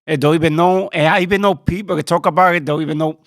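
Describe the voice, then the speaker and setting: A man's voice: fairly high pitch, fairly even intonation, fast talker, no echo, clean studio sound. A man co-hosting a podcast in casual chat, speaking in loose run-on sentences and short replies. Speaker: podcast co-host. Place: podcast studio.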